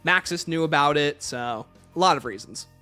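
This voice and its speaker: A man's voice: on the high side, expressive, moderate pace, pushed and bright, faint music behind. A man hosting a livestream, casually reading out questions and answering them with emphatic opinions.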